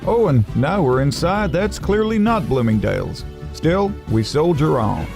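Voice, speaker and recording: A man's voice: fairly high pitch, big pitch swings, measured pace, gravelly, over music. A man reading comic scripted narration as a storyteller, with wry, sardonic asides.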